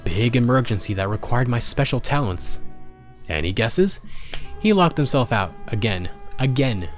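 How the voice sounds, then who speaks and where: A man's voice: mid-range voice, expressive, normal speed, lightly acted. A man voicing a grumpy, sarcastic pony maintenance supervisor who dictates log entries in a story. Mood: exasperated. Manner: exasperated, sarcastic, swearing. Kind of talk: dramatic storybook character reading. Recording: music behind the voice.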